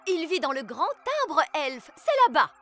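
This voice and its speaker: A woman's voice: sits high, lively intonation, normal speed, pushed and bright, faint music behind. A woman playing a proper, scolding fairy nanny in a children's cartoon, prim and matter-of-fact. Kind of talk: animated children's cartoon acting.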